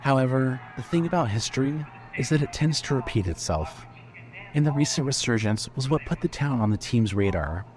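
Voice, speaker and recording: A man narrating scripted fiction as a storyteller, in a measured manner. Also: medium pitch, animated, a little mellow.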